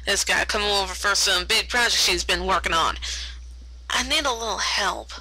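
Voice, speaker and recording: A woman's voice: medium pitch, normal speed, bright, forceful tone, rough recording. A woman voicing a hard-working, exasperated country farm pony mare with folksy talk, in a cartoon fan story.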